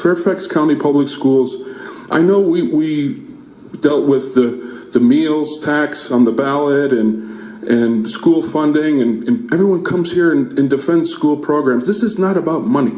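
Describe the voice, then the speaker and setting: Man, 40s, parent at a public meeting podium: earnest, heartfelt prepared remarks with some halting restarts; echoey room. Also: mid-range voice, a little mellow. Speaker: parent giving public comment.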